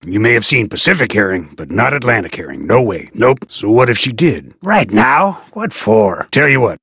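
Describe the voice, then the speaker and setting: An actor playing a gruff, blunt fisherman in a video game, folksy and dismissive. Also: mid-range voice, bright, forceful tone, dry recording, clean studio sound, exaggerated voice. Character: gruff fisherman and harbor master hopeful, blunt.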